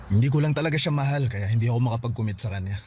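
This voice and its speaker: A man's voice: medium pitch, rapid-fire, darkish tone, a little hiss or hum, natural voice. A man acting a regretful young man who wants his ex back, speaking curtly and sheepishly in a radio drama. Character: regretful young man seeking an old flame. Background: music.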